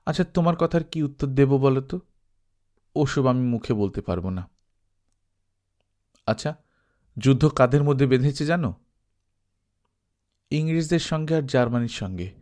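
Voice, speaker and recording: A man voicing a shy, playful and affectionate young village bride in storybook dialogue, coy and gently teasing. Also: medium pitch, lively intonation, normal speed, long pauses, close to an everyday voice.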